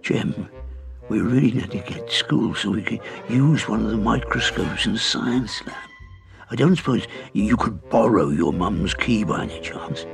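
A man voicing a cheeky, excitable teenage schoolboy who teases his friend. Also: fairly low pitch, moderate pace, gravelly, soft background music, pitched above the performer's own voice. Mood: urgent. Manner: teasing, excitable. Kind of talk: audiobook character reading.

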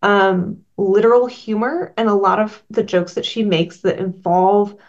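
A woman's voice: medium pitch, fairly even intonation, unhurried, lo-fi sound. A woman being interviewed as a comic writer, earnestly explaining her work at length with frequent ums. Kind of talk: thoughtful casual interview talk.

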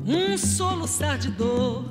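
Female singer, high and slow, mournful, on a record with a loud band behind her. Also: expressive. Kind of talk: sung Brazilian song recording. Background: loud Latin music band.

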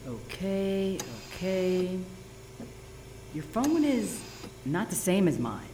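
A woman's voice: on the low side, sing-song, slow pace, dark tone, slight background noise. An actress playing a mischievous, teasing female sidekick in an audio drama. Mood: playful. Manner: playful, teasing.

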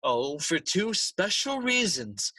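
Casting: manipulative middle-aged king, raspy and measured, dry sound, classical audio drama. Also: sits high, very animated, bright, forceful tone, no room sound, heavily acted. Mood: smooth, commanding. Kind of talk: classical stage acting for audio.

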